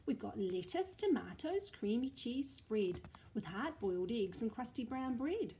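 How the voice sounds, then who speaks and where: A woman's voice: fairly high pitch, sing-song, slight background noise. A woman voicing a cheerful mother in a storybook who brushes off her child's fears. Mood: breezy. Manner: bright, brisk, reassuring.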